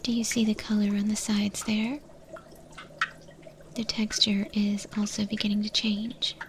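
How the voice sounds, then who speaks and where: A woman voicing a confident eldritch monster chef, whispering softly and instructively in an ASMR roleplay. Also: medium pitch, flat delivery, natural voice. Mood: patient, instructive. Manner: unhurried, soft whispering, gently instructive. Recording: clear background noise of cooking sounds. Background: cooking sounds, liquid dripping.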